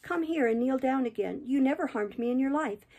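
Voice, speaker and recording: A woman reading aloud and voicing a feverish, dying young woman, lifting her voice high in bitter, imploring speech. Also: fairly quick, vocal fry, mellow, breathy edge, full character voice. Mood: pleading.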